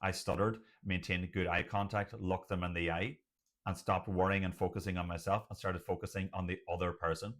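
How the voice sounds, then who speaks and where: A middle-aged man who has a stutter, speaking earnestly and emphatically to viewers in short, measured phrases, with a motivational tone. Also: low voice, flat delivery, fairly bright tone. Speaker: doctor sharing personal experience of stuttering. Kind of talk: motivational personal talk to viewers.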